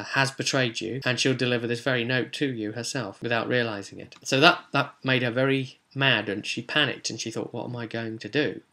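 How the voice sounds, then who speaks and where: A man talks through his tabletop game session as its game master, in a bright, forward voice. He quotes what the characters said without doing voices, and pads his telling with fillers and restarts. The tone is easy and conversational. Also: medium pitch, creaky. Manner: retells scenes, quoting characters in his own voice, many fillers. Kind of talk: casual spoken recap of a tabletop game.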